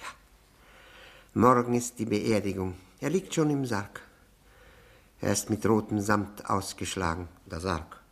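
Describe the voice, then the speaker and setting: An actor playing a bitter cuckolded widower in a 1960s German radio drama, fawning and self-abasing, then needling with mock courtesy. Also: male voice, on the low side, vocal fry, a bit bright, exaggerated voice. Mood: mock mourning. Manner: mock-courteous, insinuating, with drawn-out words and needling questions.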